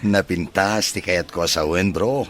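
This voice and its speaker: A man's voice: sits low, moderate pace, a little hiss or hum, natural voice. A man playing an amiable, smitten bachelor businessman in an Ilocano radio drama, polite and chuckling. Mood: joking, casual.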